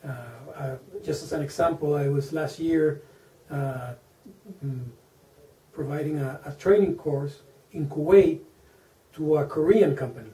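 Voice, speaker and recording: A man in his forties from Venezuela with a Venezuelan Spanish accent, a native Spanish speaker, answering interview questions slowly, with many fillers and repeated words. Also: a little high, warm tone. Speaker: interview guest, engineer. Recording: echoey room, mic at a distance.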